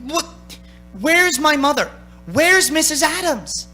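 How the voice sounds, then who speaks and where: An actor in a radio play playing a frightened young man who narrates his ordeal as he unravels, intense and halting. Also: extremely high pitch, animated, bright, forceful tone, faint music behind, exaggerated voice. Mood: alarmed. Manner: intense, haunted, halting under strain. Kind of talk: dramatic radio play acting.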